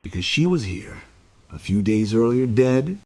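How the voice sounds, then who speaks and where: A man's voice: mid-range voice, big pitch swings, measured pace, darkish tone. Hesitant old immigrant man. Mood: polite, uneasy. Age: 70s. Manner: rambling, hesitant, polite. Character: lonely, evasive elderly Polish immigrant man under questioning. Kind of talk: audio crime drama acting.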